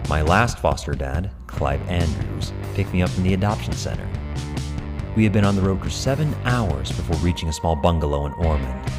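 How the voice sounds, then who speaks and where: A man playing a brooding, manipulative young gang leader, narrating his dark story in a cold, deliberate, dramatic way. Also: low-pitched. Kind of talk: scripted dramatic first-person narration. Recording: long reverb, loud music bed.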